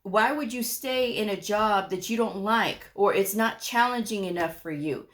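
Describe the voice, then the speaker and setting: Middle-aged woman vlogger, mid-pitched voice, blunt and scolding, no-nonsense advice. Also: moderate pace. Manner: frank, scolding, emphatic. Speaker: medical coding vlogger.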